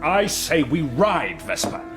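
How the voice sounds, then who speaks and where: A man's voice: a little high, big pitch swings, takes it slowly, music in the background. An actor playing a hot-headed, scornful male warrior, blunt and eager for battle, in a radio drama.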